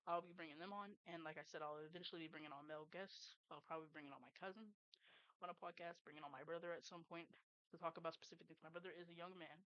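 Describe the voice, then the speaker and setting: A young woman with a lowish voice talks to her stream viewers in an earnest, heartfelt, rambling way, dropping the odd swear word. The sound is dry and a little dull, like a desk mic at a home streaming setup. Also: steady tone, fast talker, a bit bright, no room sound. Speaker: streamer and podcast host. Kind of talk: casual livestream monologue.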